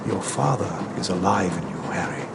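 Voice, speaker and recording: An actor voicing a gentle, wise old wizard headmaster in a video game, measured, kindly and grave. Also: male voice, low-pitched, animated, vocal fry, very noisy. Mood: grave, consoling. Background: loud music.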